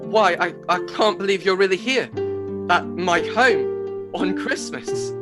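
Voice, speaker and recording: A man playing a warm, flustered young nephew hosting a dinner in a radio play. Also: sits high, animated. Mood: astonished, flustered. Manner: halting, then hearty. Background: music.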